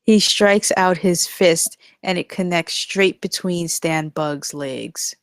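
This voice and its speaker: A woman with a low voice reads out stage directions for a comedy table read. Her scripted narration is recorded dry, without room sound. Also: slow pace, dry recording.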